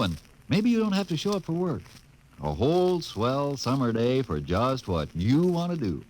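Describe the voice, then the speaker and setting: Man, vintage radio ad, folksy emphatic salesman, extremely lively intonation, mid pitch. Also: low-quality recording. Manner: folksy, chummy, emphatic selling. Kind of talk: 1950s radio commercial.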